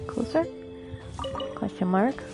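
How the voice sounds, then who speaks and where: A woman's voice: fairly high pitch, expressive, takes it slowly, creaky. A woman streaming a game on a close microphone, giving casual live commentary. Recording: game music behind the voice.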